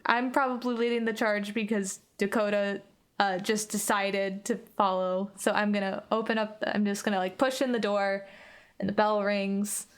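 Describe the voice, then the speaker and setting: A woman's voice: medium pitch, steady tone, normal speed, clean tone. A woman improvising casual first-person narration as a player in a tabletop roleplay game.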